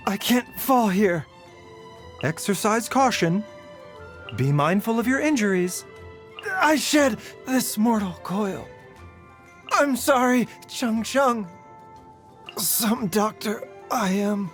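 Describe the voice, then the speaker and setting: An actor playing a gentle, scholarly doctor in a video game, speaking in a polite, measured and softly formal manner. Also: male voice, high voice, animated, full character voice. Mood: strained. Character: gentle, courteous adult male doctor, calm and scholarly. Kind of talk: scripted game voice lines. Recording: music behind the voice.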